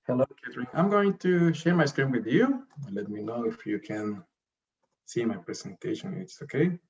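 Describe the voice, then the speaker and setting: A man giving a slide presentation as a director at an online summit, speaking haltingly and often repeating and rephrasing his words. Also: medium pitch, expressive. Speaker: investment promotion director presenting. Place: remote online video call. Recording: dull, lo-fi video call audio.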